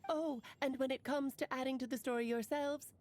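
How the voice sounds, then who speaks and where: A woman's voice: sits high, fairly even intonation, fairly quick, a little mellow, a little hiss or hum, lightly acted. A woman playing a playful, teasing witch aunt in an anime game, with whimsical, theatrical airy confidence. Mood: explaining, playful.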